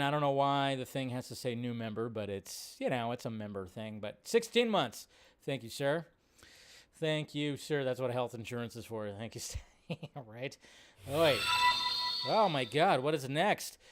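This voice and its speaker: A man's voice: medium pitch, clear, resonant tone. A man hosting a live show, chatting casually and directly to viewers in a rambling, colloquial way. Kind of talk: casual livestream chat.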